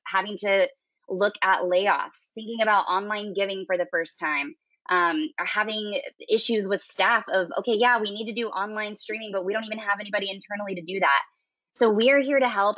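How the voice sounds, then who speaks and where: A woman's voice: mid-range voice, a bit bright, no echo. Upbeat woman host, dry dull audio. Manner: upbeat, promotional. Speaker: interview host, business development VP. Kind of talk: webinar hosting and wrap-up. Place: her home. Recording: dry, slightly dull home webinar audio.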